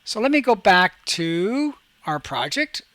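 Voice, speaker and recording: A man hosting a software tutorial as a teacher, talking through each step aloud in an instructional way. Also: high voice, sing-song, takes it slowly, no echo.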